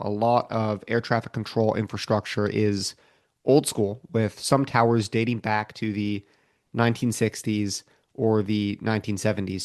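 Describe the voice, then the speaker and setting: An American man co-hosting a podcast, explaining things in a measured way from prepared notes. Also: lowish voice, no echo, clean studio sound. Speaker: podcast co-host.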